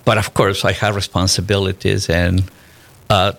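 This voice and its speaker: A man's voice: low voice, little pitch movement, normal speed, creaky, fairly bright tone. A man in his fifties from Peru, a native Spanish speaker with a Peruvian accent, answering questions conversationally as an interview guest.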